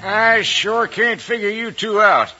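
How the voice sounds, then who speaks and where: Cranky old western deputy, high sharp voice, querulous drawl, muffled radio drama. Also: male voice, slow, faint music behind, exaggerated voice. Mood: querulous, hurt. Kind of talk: 1950s western radio acting. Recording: dull old radio recording.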